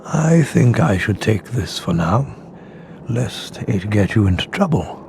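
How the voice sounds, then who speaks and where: A man voicing a wise, gentle old wizard headmaster in a video game, with a measured, grave, kindly manner. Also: fairly low pitch, sing-song, creaky, slight background noise, exaggerated voice.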